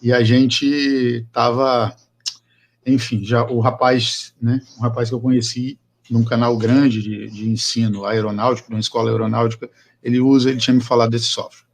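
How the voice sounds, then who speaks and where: A man's voice: mid-range voice, little pitch movement, normal speed. A man hosting a casual podcast interview, asking long, rambling questions with many restarts. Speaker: podcast host.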